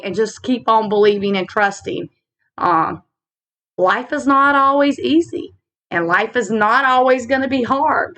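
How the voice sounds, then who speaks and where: A woman with a slightly raspy voice, hosting a casual faith vlog, talking earnestly with fillers and slow reflective stretches into a laptop mic. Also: mid-range voice, fairly bright tone. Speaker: channel host. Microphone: laptop built-in mic.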